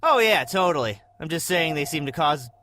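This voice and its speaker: A man's voice: on the high side, animated, normal speed, pushed and bright, slight background noise. A man playing a jaded, skeptical soldier on monster watch, dry and dismissive in comic dialogue.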